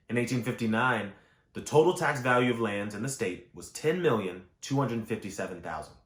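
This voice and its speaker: A man reading historical nonfiction aloud in a measured, formal way, phrasing each clause clearly. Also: on the low side. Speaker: audiobook reader. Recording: roomy, echoing voice recording.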